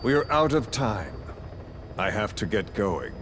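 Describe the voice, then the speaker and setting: A man playing a menacing, vengeful villain in a video game, speaking in a measured, mocking, quietly threatening way. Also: on the low side, sing-song, noisy background. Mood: cold resolve. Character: menacing disfigured villain, cold vengeful schemer. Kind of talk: dramatic video game acting.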